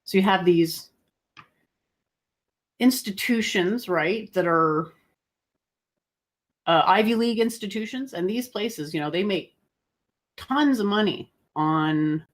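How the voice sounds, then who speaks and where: A woman's voice: medium pitch, expressive, slow pace, long pauses. A woman co-hosting a conversational news commentary, searching for her phrasing as she goes and posing rhetorical questions. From outside the United States. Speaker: co-host commentator.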